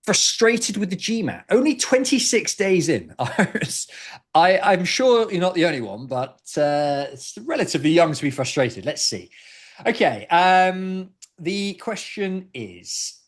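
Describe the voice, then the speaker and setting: A man hosting a casual chat show, giving brisk, direct, point-by-point evaluations. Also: high voice, big pitch swings. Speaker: host, admissions consultant. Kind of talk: casual podcast chat, reading and critiquing posts.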